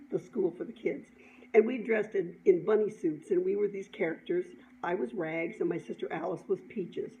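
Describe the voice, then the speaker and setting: A middle-aged woman telling an informal personal story in an animated, chuckling way, as an author sharing her own work. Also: on the low side. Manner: animated, chuckling, acts out dialogue. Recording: dull lo-fi sound, clear background noise.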